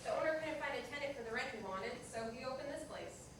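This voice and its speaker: A woman's voice: high voice, expressive, quick pace. A woman playing a bitter, jilted coffee-shop manager in a staged reading, with a curt, sarcastic and accusing manner. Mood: brusque, defensive. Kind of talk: staged reader's theater dialogue. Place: indoor performance room, distant microphone. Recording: echoey noisy room recorded from far off.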